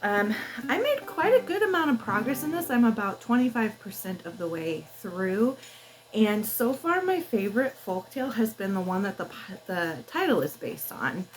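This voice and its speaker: A woman's voice: medium pitch, very animated, normal speed, low-quality recording. A woman book vlogger chatting casually and informally to the camera, thinking aloud as she goes. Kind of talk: casual vlog chat to camera.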